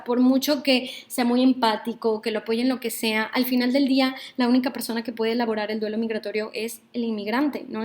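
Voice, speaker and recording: Mexican woman, workshop lecturer, thoughtful, fairly high voice, checks in with listeners as she goes. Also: darkish tone. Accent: Mexican Spanish. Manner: thoughtful, frequent "¿no?" tags and hesitations.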